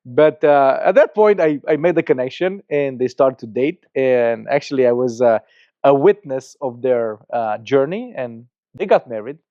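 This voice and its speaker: Romanian-accented man, halting earnest storytelling. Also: medium pitch, no echo. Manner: earnest, halting with frequent restarts and repeated words. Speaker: guest, church planter. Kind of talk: podcast interview storytelling. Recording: slightly muffled dry podcast audio.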